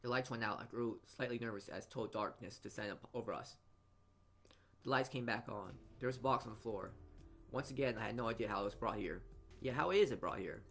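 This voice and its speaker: A man's voice: medium pitch, fairly bright tone. A man reading a visual novel's narration aloud as a let's player, often stumbling over words and correcting himself, with asides of his own. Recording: game music behind the voice. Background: game music.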